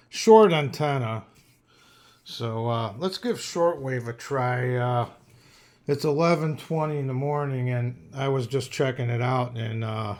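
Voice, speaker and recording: A man giving a casual, plainspoken product demonstration as a hobbyist reviewer. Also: medium pitch. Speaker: radio reviewer and hobbyist host.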